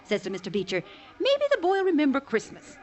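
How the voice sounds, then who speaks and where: A performer playing an excitable, simple young ranch hand in a vintage radio western, folksy and eager. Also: high-pitched, fast talker, muffled recording. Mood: giddy. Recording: vintage radio recording, crowd noise.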